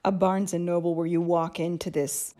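A woman's voice: fairly low pitch, flat delivery, normal speed, dark tone. A woman co-hosting a podcast, talking casually and thoughtfully, often restarting and musing aloud. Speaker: podcast co-host. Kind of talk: casual chat.

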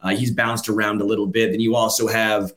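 A man's voice: on the low side, flat delivery, brisk, fairly bright tone, echoey room. A man hosting a sports podcast, asking long rambling questions in a conversational way. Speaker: podcast host. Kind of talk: casual sports podcast chat.

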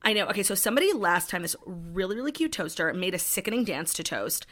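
A woman's voice: mid-range voice, sing-song, quick pace, fairly bright tone. A woman co-hosting a chatty podcast, blunt and teasing, with casual swearing. Her voice swoops up and down with a lot of life in it. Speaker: podcast co-host. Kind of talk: casual chat.

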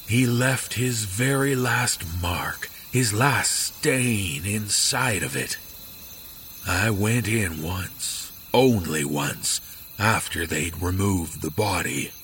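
An actor playing a grim, haunted middle-aged father in a horror audio drama, speaking gravely and haltingly. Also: fairly low pitch, lively intonation, pushed and bright, a little hiss or hum, full character voice. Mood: stern, solemn. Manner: slow, grave, halting delivery. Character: grim, haunted father confiding a dark family secret.

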